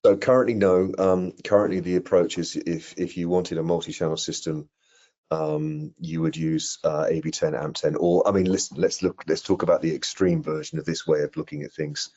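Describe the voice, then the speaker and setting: A middle-aged man answering technical questions in an online webinar, explaining things in a measured, careful way. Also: rumbling low, level tone, warm tone. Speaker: product presenter answering questions. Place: indoor room on a video call. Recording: muffled video-call audio.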